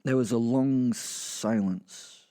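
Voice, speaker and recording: A man's voice: medium pitch, slow, warm tone. A man with a British accent narrating a horror audio drama in the first person, chatty and wry with sweary asides. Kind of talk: first-person conversational storytelling.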